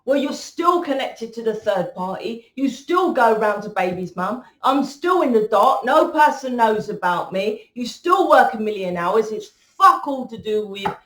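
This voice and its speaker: A woman's voice: a little high, animated, measured pace, hardly any pauses, fairly bright tone. Blunt woman, echoey room. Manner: blunt, swears freely, rambling. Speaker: tarot reader. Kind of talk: casual tarot reading monologue.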